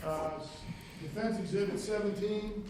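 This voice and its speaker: Male prosecutor, native Spanish speaker, slightly high voice, rambling friendly questions, echoey courtroom. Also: measured pace. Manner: casual, rambling, self-deprecating questioning. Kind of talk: courtroom redirect examination. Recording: echoey courtroom, faint hiss.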